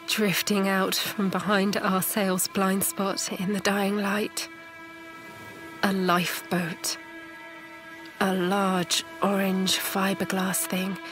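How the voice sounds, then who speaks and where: Tired loving mum on a boat, audio drama, breathy n slow, music under it. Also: female voice, lowish voice, a bit bright. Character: weary, tender mother adrift at sea, survivor. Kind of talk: audio drama dialogue and first-person narration.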